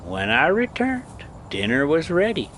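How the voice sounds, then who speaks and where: A man reading a story aloud as an amateur host, halting and stumbling over words now and then, with casual delivery. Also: fairly high pitch, huge pitch swings, fairly bright tone, a little hiss or hum. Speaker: host reading stories aloud for a pet video channel.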